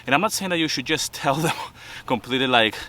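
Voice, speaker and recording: Earnest Venezuelan man with a bright voice. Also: mid-range voice, animated, quick pace. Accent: Venezuelan Spanish. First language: Spanish. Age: 50s. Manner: earnest, repeats points with "okay?". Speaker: vlogger. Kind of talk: motivational talk to camera.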